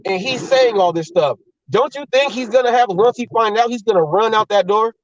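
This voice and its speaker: A man's voice: high voice, fairly quick, far from the mic, highs cut off, slight background noise. A man hosting a talk show, blunt and heated, swearing and repeating himself as he argues. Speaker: host.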